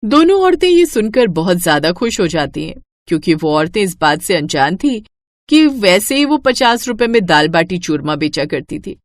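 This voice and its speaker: A woman narrating a scripted moral story in an expressive storytelling manner. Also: on the low side, normal speed, no echo, clean studio sound.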